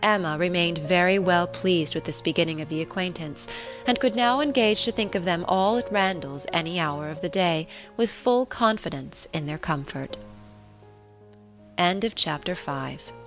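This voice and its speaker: A woman reading a classic novel as an audiobook narrator, in a measured, formal manner. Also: on the low side. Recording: relaxing music behind the voice.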